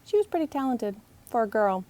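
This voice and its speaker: Animated woman reading a children's story. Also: on the high side, brisk, no room sound. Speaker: author reading own book aloud. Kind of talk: expressive children's storybook narration.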